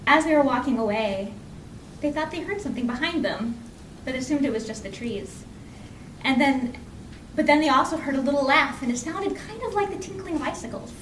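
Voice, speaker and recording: High-voiced woman storyteller in an echoey room. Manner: expressive, conversational, with pauses and repeated phrases for effect. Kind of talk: live oral folk tale storytelling. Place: indoor library program before an audience. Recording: echoey room from far off, faint noise.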